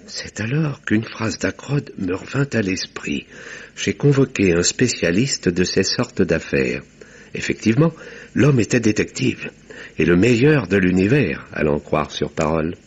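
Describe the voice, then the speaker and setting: An actor playing a courteous, formal village doctor who narrates and talks in a radio mystery with literary theatrical delivery. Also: male voice, low voice, sing-song, a little slow, husky, highs cut off, heavily acted. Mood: earnest recounting.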